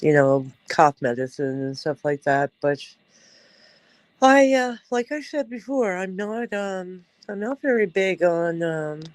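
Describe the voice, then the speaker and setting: A congested woman with a stuffed-up nose hosting a casual livestream chat, talking in a halting way with many fillers. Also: fairly low pitch, lively intonation, darkish tone, no room sound. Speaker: livestream host.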